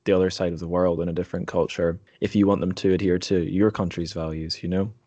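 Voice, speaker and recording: A man's voice: low-pitched, flat delivery, fast talker, dark tone, dry recording, highs cut off. A man hosting a podcast, chatting casually and putting questions to a guest in an interview.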